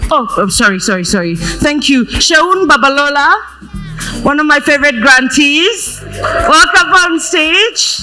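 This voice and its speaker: A woman's voice: high-pitched, expressive, a little slow, music in the background. Playful Kenyan woman hosting a panel. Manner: playful, loud, laughs often. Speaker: panel moderator. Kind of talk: live panel moderation. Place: conference stage before an audience. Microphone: handheld stage mic.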